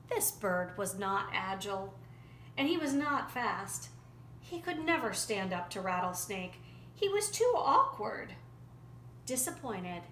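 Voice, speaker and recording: A woman storyteller narrating a folktale in an expressive, dramatic and deliberate way. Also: mid-range voice. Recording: roomy echo, faint background noise.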